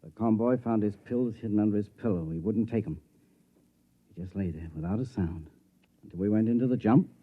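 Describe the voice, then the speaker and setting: A man's voice: fairly low pitch, a little fast, close to an everyday voice. An actor playing a weary, frank male ship's doctor with a grave, wry manner in 1950s radio drama style. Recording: very tinny, narrow vintage radio recording.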